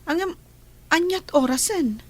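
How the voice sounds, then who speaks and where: A woman's voice: very high-pitched, animated, unhurried. An actress with a Filipino accent playing a dutiful young woman, an eldest daughter troubled in love, in a radio drama. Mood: warm, chatty.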